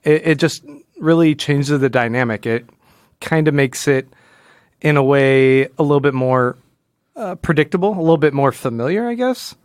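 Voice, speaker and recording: A man's voice: on the high side, animated, normal speed, smooth, no echo, clean studio sound. A man co-hosting a podcast, talking casually about comic books in informal, slangy phrasing. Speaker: podcast co-host. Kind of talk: casual comic book discussion.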